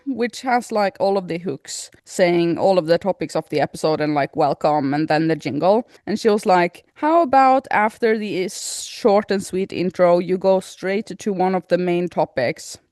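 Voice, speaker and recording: A woman's voice: on the low side, lively intonation, moderate pace, few pauses, darkish tone, no echo, studio quality. Casual, giggly woman podcast host. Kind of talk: casual chat.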